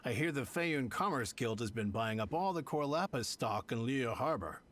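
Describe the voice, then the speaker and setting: A man's voice: medium pitch, lively intonation, normal speed, fairly bright tone, close to an everyday voice. An actor voicing a greedy male merchant in a game who haggles and then pleads. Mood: haggling. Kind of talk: game voice acting.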